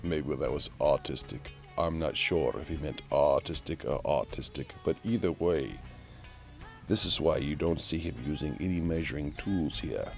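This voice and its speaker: A man's voice: extremely low pitch, vocal fry, a little mellow, soft background music. A man voicing a flamboyant, theatrical announcer who muses grandly and teasingly in a mock play-by-play for an online video. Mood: wry musing. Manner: grandiose, drawn-out, musing aloud, mock-dramatic.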